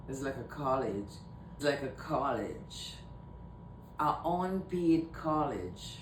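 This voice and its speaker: A woman from Trinidad and Tobago with a Trinidadian accent, talking casually to camera in a rambling way and often repeating herself. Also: darkish tone. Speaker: vlogger. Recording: echoey room, mic at a distance, faint rumble.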